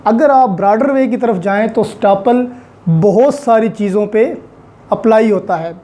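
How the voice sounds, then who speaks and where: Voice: mid-range voice, measured pace, mellow. A lecturer with a Pakistani accent, explaining law methodically, step by step with examples, mixing in English terms. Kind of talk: explanatory lesson, Urdu mixed with English legal terms.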